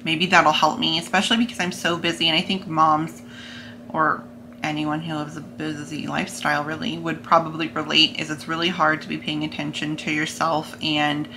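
A woman's voice: low-pitched, flat delivery, normal speed, noisy background. A young adult American woman chatting casually to camera in a vlog, seated in a plain room at home. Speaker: vlogger.

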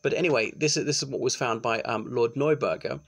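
Gravelly man giving a lecture. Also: mid-range voice, brisk. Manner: chatty, explanatory, with frequent ums and restarts. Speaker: law lecturer. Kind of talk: informal recorded lecture. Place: small indoor room. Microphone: laptop webcam, close framing.

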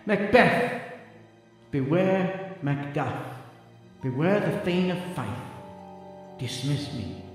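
Man acting a high, echoing spectral apparition. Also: expressive, slow, pauses often. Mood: urgent warning. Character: urgent spectral apparition crying a warning. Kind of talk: Shakespearean radio drama acting. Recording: long echoing reverb, faint music.

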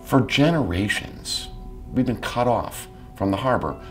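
A man's voice: fairly low pitch, animated, measured pace. A man speaking conversationally in a documentary interview. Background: music.

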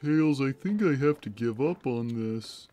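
A man voicing a big, gentle, timid cartoon cat who speaks simply and hesitantly while playing a game on a livestream. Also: medium pitch, animated, unhurried, natural voice. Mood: defeated. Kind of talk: in-character gaming livestream chat. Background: game music.

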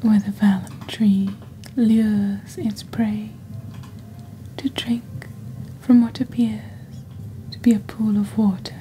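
A woman voicing a witch who hosts a potion shop in soft, whispery, breathy ASMR, speaking slowly and deliberately in a measured sales patter. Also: mid-range voice, little pitch movement, faint music behind, natural voice. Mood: ominous, calm. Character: witch shop host peddling dark potions and poisons. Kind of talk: soft-spoken ASMR shopping-channel presentation.

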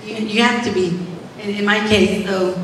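A woman who owns a spa speaks earnestly on stage and answers questions, with a somewhat halting delivery. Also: medium pitch, steady tone, slight background noise. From Mexico. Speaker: spa owner and guest speaker. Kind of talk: casual speech and on-stage Q&A. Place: large event hall on stage. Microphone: handheld microphone, far camera. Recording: echoey hall from far off.

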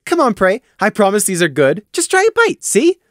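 A man voicing a bubbly, pushy female unicorn mayor who chatters brightly and bosses everyone around. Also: high-pitched, wildly swooping pitch, dry recording, studio quality, voice pushed up, heavily acted. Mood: bubbly bossy. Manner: bright, bossy, rapid-fire chatter.

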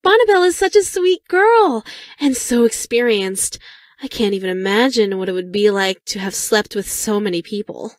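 A woman voicing a secretly menacing high school girl in a video game, speaking with saccharine, insincere concern. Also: lively intonation, normal speed, dry recording, studio quality, lightly acted. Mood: fake sympathy. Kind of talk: video game character voice lines.

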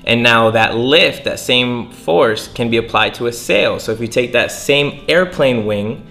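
A man's voice: mid-range voice, measured pace, gravelly, sharp tone, soft background music. A young man hosting a casual lesson, explaining how sailing works in plain words while gesturing, indoors by a window. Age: young adult. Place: indoors by a window near the water.

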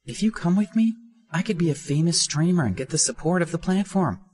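A man voicing a spoiled, pushy young woman streamer in a high, demanding, pleading tone. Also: lively intonation, brisk, darkish tone, close to an everyday voice. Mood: indignant pleading.

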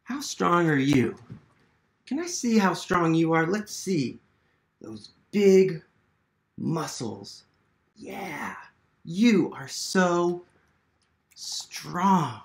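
A man's voice: a little high, very animated, drawn-out words, gravelly, long pauses. An American man in his thirties hosting a children's read-aloud as an educator, asking questions and encouraging young listeners. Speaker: museum literacy educator hosting a children's storytime. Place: small living room at home, indoors.

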